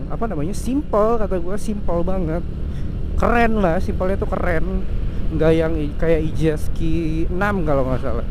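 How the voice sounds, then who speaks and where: A young man chats through a gear review outdoors, his voice sitting a little high. He talks loosely and slangily, often pausing on fillers and restarting. Traffic hums clearly behind him. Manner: casual slangy talk with many fillers and restarts. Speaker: vlogger reviewing a motorcycle intercom. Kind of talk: casual product review. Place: outdoors by a road. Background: traffic noise.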